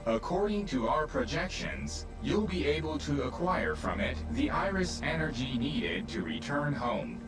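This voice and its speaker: An actor playing a pedantic, precise machine intelligence in a video game cutscene. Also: male voice, fairly high pitch, animated, unhurried, hardly any pauses. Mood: matter-of-fact. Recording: distant voice with music behind.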